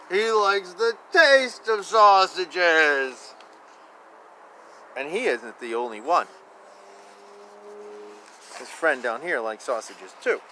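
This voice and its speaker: A man reading children's picture books aloud in a playful, expressive way, with casual asides and repeated phrases. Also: high-pitched. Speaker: children's book reader. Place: outdoors. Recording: noisy outdoor ambience. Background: outdoor ambience, animal and vehicle sounds.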